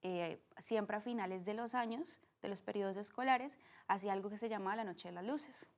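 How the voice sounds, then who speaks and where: A woman in her twenties with a Colombian accent from Cali, speaking as a podcast guest in a warm, earnest way with frequent "eh" fillers. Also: mid-range voice, fairly quick. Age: 20s. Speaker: guest, art director. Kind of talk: casual interview.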